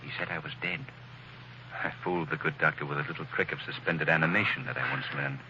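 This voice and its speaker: An actor playing a calm, commanding male crime-fighter in a 1940s radio drama, with a smooth, assured, softly ominous manner. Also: rumbling low, fast, dull audio, noisy background. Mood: hushed.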